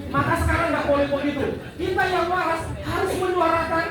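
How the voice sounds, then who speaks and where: A man's voice: very high-pitched, a little fast. A man working as emcee at a community gathering, energetically leading the crowd in call-and-response chants. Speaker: event emcee. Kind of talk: hyping a campaign gathering. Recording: echoey hall miked from far off. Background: lively crowd.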